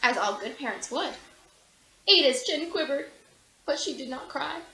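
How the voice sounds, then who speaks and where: A woman reading a children's picture book aloud in an animated, theatrical way, acting out the characters. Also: high-pitched, moderate pace, reverberant, poor audio. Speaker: storybook reader.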